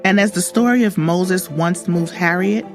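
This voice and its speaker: A woman's voice: fairly low pitch, moderate pace. A woman reading a scripted story for children as its narrator. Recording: music under the voice. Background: background music.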